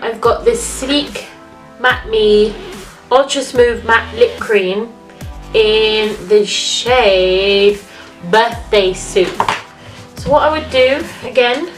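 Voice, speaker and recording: A woman with a slightly high voice chats her way through a makeup routine, sounding nasal and stuffed up from a cold. Her talk is loose and friendly as she works. The room is echoey and music plays clearly behind her. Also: fairly bright tone. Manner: chatty, talks while applying makeup. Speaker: beauty vlogger. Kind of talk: casual makeup tutorial.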